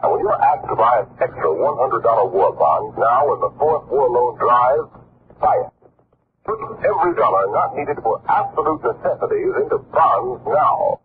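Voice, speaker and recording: A man's voice: medium pitch, wildly swooping pitch, normal speed. A man announcing on 1940s radio in a dramatic, solemn, emphatic scripted narration. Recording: scratchy muffled 1940s radio recording.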